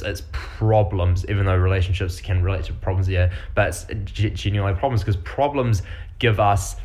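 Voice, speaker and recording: Rambling New Zealand man, low voice. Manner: rambling, enthusiastic, many fillers and restarts. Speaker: podcast host. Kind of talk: casual solo podcast talk.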